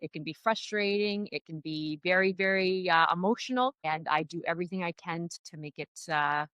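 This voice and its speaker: A middle-aged woman from Canada with a Canadian accent, an upbeat real estate agent chatting as a podcast guest, often pausing on "uh". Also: lowish voice, a bit bright, smooth, no echo. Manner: upbeat, chatty, frequent "uh" fillers. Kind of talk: casual podcast interview.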